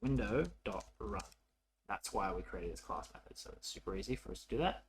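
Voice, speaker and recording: A man narrating a coding tutorial casually, thinking aloud in an informal, halting way as he works. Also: low-pitched, big pitch swings, a little hiss or hum. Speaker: programming tutorial presenter.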